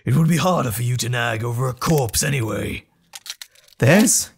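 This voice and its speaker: A man's voice: medium pitch, expressive, moderate pace, vocal fry, a little hiss or hum. A man voicing a wild, contemptuous male alter ego in an audio drama, taunting and sneering. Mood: menacing, taunting.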